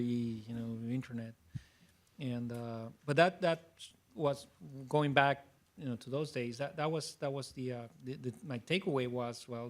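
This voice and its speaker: Man on a panel. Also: mid-range voice. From Mexico. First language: Spanish. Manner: reflective, with frequent restarts and fillers. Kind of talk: panel discussion.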